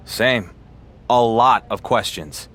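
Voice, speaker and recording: A man's voice: lowish voice, takes it slowly, a bit bright. An actor voicing an earnest male wrestling champion in a video game cutscene, talking in a plain, wary way.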